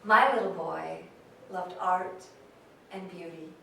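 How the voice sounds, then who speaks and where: A woman's voice: fairly low pitch, slow, creaky, sharp tone, exaggerated voice. Woman playing a free-spirited mother, very echoey hall. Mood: fond, reminiscing. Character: free-spirited, frank, loving mother from rural Ohio. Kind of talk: staged reading, storytelling monologue. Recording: very echoey hall, distant mic, noisy.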